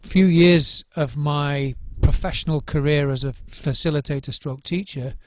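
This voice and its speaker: A man's voice: a little high, steady tone, measured pace, no room sound. A middle-aged man, a drum circle trainer, giving thoughtful interview answers, often restarting and repeating words as he searches for what to say.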